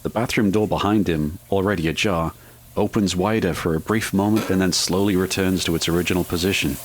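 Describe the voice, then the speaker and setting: A man's voice: sits low, fairly even intonation, brisk, slight background noise, low-quality recording. A man giving scripted narration for an audio drama.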